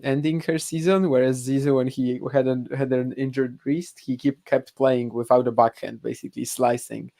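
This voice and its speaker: A man's voice: medium pitch, vocal fry, warm tone. A man from Poland, co-hosting a casual podcast with hesitant, filler-heavy talk. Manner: hesitant, lots of fillers and restarts, laughs a bit. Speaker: podcast co-host. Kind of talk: casual chat.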